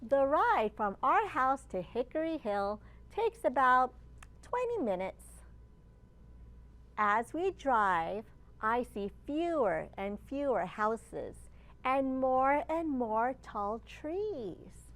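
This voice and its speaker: A woman librarian reading a picture book aloud for young children, with deliberate phrasing and questions put to her listeners. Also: sits high, very animated, fairly bright tone.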